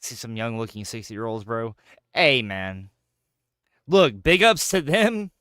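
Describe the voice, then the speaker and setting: A man's voice: mid-range voice, measured pace, clear, resonant tone, dry recording, clean studio sound. A man in his thirties, a gaming streamer chatting casually with jokes, swearing and playful banter. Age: 30s. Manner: joking, profane, animated banter. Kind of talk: casual chat while gaming.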